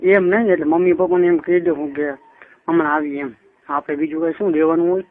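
A man's voice: a little high, fairly even intonation, quick pace. A man with a Kathiawari Gujarati accent, chatting casually and privately on the phone. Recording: tinny phone call recording.

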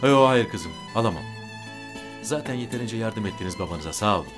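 An actor as a mild middle-aged father, animated pitch. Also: fairly quick, lightly acted. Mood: reassuring. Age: 50s. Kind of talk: TV drama acting. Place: indoor room in a house, on set. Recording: music under the dialogue.

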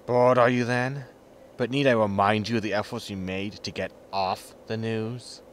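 A man playing a weary, dry-witted spaceship captain in a sci-fi comedy audio drama, deadpan in manner. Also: lowish voice, unhurried, a little hiss or hum, lightly acted. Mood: exasperated.

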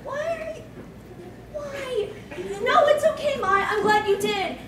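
Woman playing an awkward, anxious anime youngster, very high and hesitant, heard distantly in a noisy hall. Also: expressive, slow pace, heavily acted. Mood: shy, flustered.

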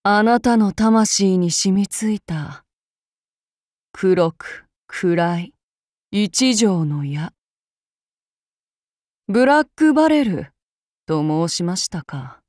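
A polite, bloodthirsty samurai demon. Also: female voice, mid-range voice, animated, drawn-out words, long pauses, no room sound, studio quality. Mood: menacing, courteous. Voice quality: soft, formal speech turning to wild shrieks in battle. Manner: courteous and archaic with a menacing undertone. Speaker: voice actress for a game character. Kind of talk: game character voice lines.

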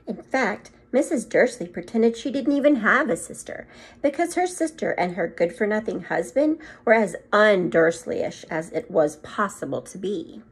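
Animated, husky-voiced woman reading aloud. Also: medium pitch, moderate pace. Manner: animated, acts out the story, adds short asides. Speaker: second grade teacher reading aloud to pupils. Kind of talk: expressive children's storybook read-aloud. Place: small room at home, indoors. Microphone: phone or tablet propped at arm's length.

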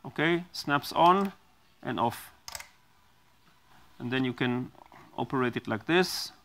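Middle-aged man, very slow talker, halting with ums, product demo, lapel mic, small studio. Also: mid-range voice, lively intonation, gravelly, a bit bright, a little hiss or hum. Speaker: product designer demonstrating his device. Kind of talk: product demonstration.

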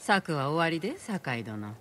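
A woman's voice: low-pitched, very animated, a little slow, fairly bright tone, a little hiss or hum, full character voice. Tough, direct young woman. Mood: direct, concerned. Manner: casual, direct. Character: plain-spoken, tough young woman thief ally. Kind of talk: dramatic game dialogue.

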